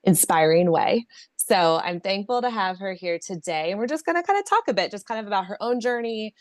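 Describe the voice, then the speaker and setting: A woman's voice: mid-range voice, expressive, a little fast, no echo. Affirming woman podcast host. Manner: warm, affirming, with lots of backchannel yeses. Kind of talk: casual interview chat.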